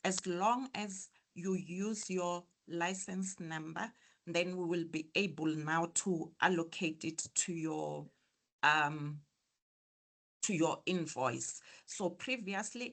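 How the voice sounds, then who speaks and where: A soft-voiced woman speaks slowly on a video call mic. She answers members' questions in an online meeting, hesitating often with ums and restarts. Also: on the low side. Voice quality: soft, quiet voice. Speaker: association official answering members.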